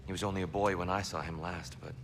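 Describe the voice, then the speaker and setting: Casting: a gentle, courteous old god in disguise, low voice, game cutscene over a steady hiss. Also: male voice. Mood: soft, courteous. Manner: gentle, measured, apologetic. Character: elderly god disguised as a war god, soft-spoken. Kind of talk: video game cutscene acting. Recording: game audio with steady background noise.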